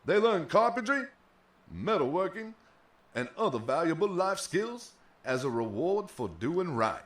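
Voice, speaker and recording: An actor playing a sneering, condescending authority figure in an audio drama, delivering veiled threats with mock friendliness. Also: male voice, sits high, very animated, measured pace. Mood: smug, insinuating. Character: sneering, smug, menacing male authority running a prison.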